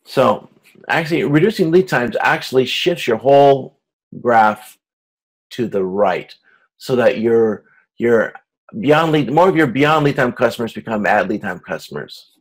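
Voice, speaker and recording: A man with a medium-pitched voice delivers an informal lecture. He explains as a course instructor would, often doubling back to repeat or reword a phrase before carrying on. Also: expressive, poor audio. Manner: halting, repeats and rephrases himself as he explains.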